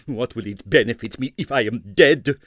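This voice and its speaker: A man's voice: medium pitch, moderate pace, a bit bright, no room sound, studio quality, close to an everyday voice. A man voicing a vain, petulant male choreographer speaking broken English.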